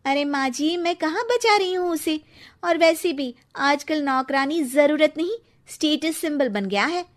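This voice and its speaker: A woman voicing a young, modern Indian daughter-in-law in a Hindi cartoon story, pleading and quick to protest. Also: sits high, lively intonation. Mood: pleading explanation.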